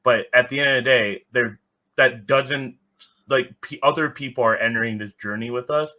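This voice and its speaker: A man's voice: medium pitch, normal speed, pushed and bright. A man giving casual, opinionated talk as a podcast guest, blunt in his manner. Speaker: podcast guest, soccer fan.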